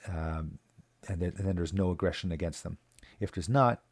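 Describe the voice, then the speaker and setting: A man's voice: deep voice, mellow, no room sound. A man giving a casual, earnest community update, speaking plainly with many fillers and restarts. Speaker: local community advocate. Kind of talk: casual spoken video update.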